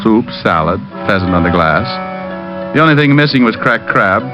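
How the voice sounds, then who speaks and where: Male actor as sardonic, put-upon American private eye, low voice, vintage radio detective style, dull recording with music behind. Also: animated, moderate pace, lightly acted. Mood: wry narration. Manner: wry, sardonic, wisecracking. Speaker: narrator and lead actor of a radio detective series. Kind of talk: old-time radio detective acting and narration.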